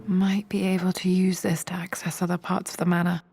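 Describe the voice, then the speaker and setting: An actress playing a pensive, quietly awed young adventurous daughter in a video game, murmuring to herself in a hushed voice. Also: female voice, on the low side, flat delivery, a little fast. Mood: hushed wonder. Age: young adult. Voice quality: creaky, hushed. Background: music.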